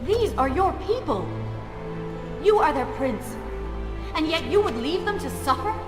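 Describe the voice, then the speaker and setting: A principled, defiant young princess in a video game, earnest and reproachful. Her high voice moves expressively, with a game score playing behind her. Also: animated, a little slow, music in the background.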